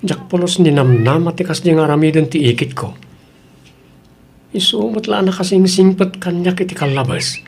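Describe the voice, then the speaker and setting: A man's voice: on the high side, normal speed, gravelly, darkish tone, slightly rough. A man playing a respectful, apologetic young bridegroom-to-be in an Ilocano audio drama, with a Filipino accent. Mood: troubled. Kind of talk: radio drama acting.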